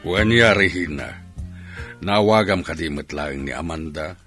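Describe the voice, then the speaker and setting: An actor playing a stern, domineering Ilocano father in a radio drama, husky and exasperated, with forceful outbursts. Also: rumbling low, unhurried, faint music behind, exaggerated voice. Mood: angry, exasperated. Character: angry domineering father, businessman, scolding about his daughter.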